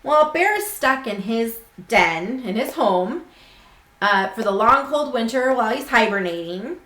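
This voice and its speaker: A woman's voice: fairly high pitch, unhurried. A woman reading a picture book aloud to children, expressively acting out its words. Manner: expressive, acts out words and characters. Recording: roomy, echoing indoor sound.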